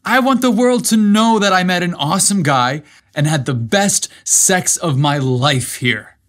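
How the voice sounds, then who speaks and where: A man's voice: sits high, a little slow, fairly bright tone, pitched above the performer's own voice. A man voicing a loyal, earnest young best friend with a casual manner in a narrated story. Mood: thrilled.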